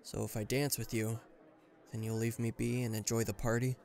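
A man's voice: medium pitch, level tone, normal speed, vocal fry, darkish tone, lightly acted. A man playing an aloof, reluctantly caring bodyguard in a roleplay audio drama, talking to the listener in a dry, guarded, mildly exasperated way. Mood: grudgingly yielding. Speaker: voice actor.

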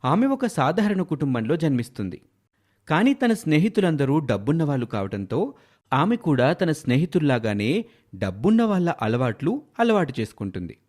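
Very animated, higher-pitched male story narrator. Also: normal speed.

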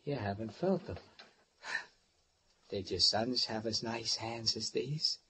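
A man's voice: lowish voice, slow. An actor playing a paranoid, menacing handyman in an old radio thriller, with a controlled, threatening manner. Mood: controlling. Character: menacing, paranoid handyman holding a woman captive. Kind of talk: 1940s-50s radio thriller acting. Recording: noisy old-time radio recording.

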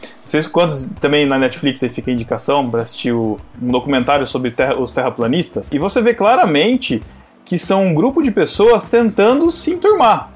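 A man co-hosting a casual podcast chat, animated and rambling, often restarting his sentences. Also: on the high side, fairly quick, breathy edge, faint music behind. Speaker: podcast co-host.

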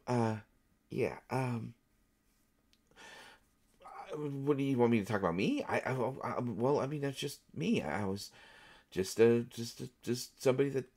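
A man's voice: on the low side, vocal fry, a little mellow, full character voice. A man performing an audio roleplay as an overexcited, anxious, over-caffeinated actor, stammering and repeating his words as he rambles. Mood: nervous, eager. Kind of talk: solo audio roleplay acting, one side of a conversation.

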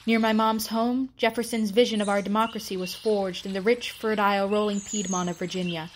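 A woman's voice: mid-range voice, fairly even intonation, fairly quick. American woman storyteller, birdsong behind her. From United States. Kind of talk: scripted oral-history storytelling narration. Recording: clear background noise with birdsong.

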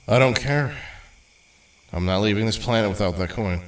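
A man's voice: on the low side, normal speed, far from the mic, close to an everyday voice. A determined young hero played by a man talks casually and plainly, with a stubborn streak. The audio drama voice is recorded slightly away from the mic.

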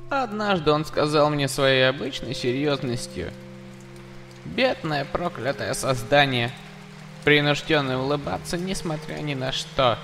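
A man performs an earnest, tender-hearted skeleton from a comic dub. The voice sits high for a man, dramatic and imploring, with anxious hesitations. Faint music plays underneath. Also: animated, a little slow, gravelly, fairly bright tone, full character voice. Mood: somber.

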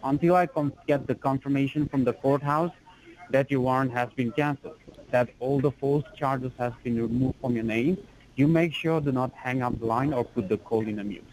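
A man posing as an officer on a phone call, talking formally and insistently with halting restarts. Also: mid-range voice, a little mellow.

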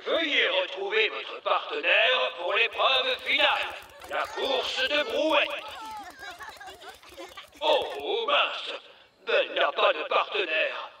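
A man's voice: sits high, animated, pushed and bright, exaggerated voice. A man voicing a theatrical elf announcer at a cartoon sports event, drawing out the words. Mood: announcing. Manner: drawn-out announcing. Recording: echoey, distant announcer sound.

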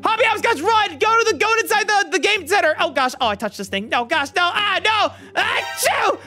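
A man hosting a game roleplay video, narrating in a panicky, excitable way with frantic exclamations. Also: very high voice, fairly quick. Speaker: gaming video host. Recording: faint background music.

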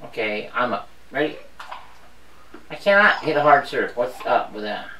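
A woman with a low voice chatting casually and teasing her friend while they play a video game. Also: moderate pace, a little hiss or hum. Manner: playful teasing, lively exclamations. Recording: roomy, distant home video.

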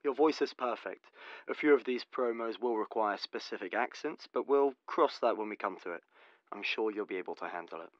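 A man's voice: lowish voice, fairly quick, dry recording, lightly acted. An actor, a man, playing a polite, breezy, placating studio director in an audio drama. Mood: reassuring. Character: smooth-talking, reassuring male studio director. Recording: dull booth intercom sound.

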